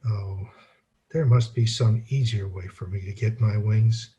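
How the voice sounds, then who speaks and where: An actor playing an earnest, apologetic, bumbling elderly guardian angel in an old-time radio play. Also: male voice, lowish voice, steady tone, a little slow, dark tone, slight background noise, lightly acted. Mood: flustered, earnest.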